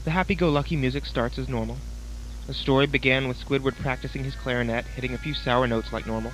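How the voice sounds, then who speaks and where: A man's voice: mid-range voice, steady tone, quick pace, heavy background noise. A man reading a scripted horror story as its narrator. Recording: dull, lo-fi voice recording. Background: music.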